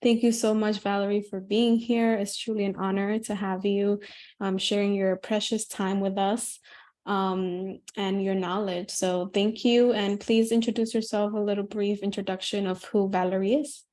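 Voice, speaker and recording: Woman, webinar host, polite halting intro, monotone. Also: mid-range voice. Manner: polite, halting, with many pauses.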